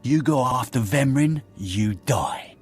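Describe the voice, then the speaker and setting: A man playing a hot-headed, thuggish henchman in a video game, sneering and bullying in a raspy voice. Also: mid-range voice, lively intonation, slow, soft background music. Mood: menacing. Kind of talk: dramatic video game dialogue.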